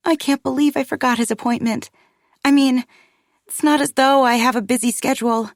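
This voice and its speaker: A woman's voice: mid-range voice, expressive, normal speed, dry recording, clean studio sound, lightly acted. A woman voicing an anxious, apologetic young mother with a flustered manner in an audiobook. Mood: embarrassed. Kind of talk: dramatized audiobook dialogue.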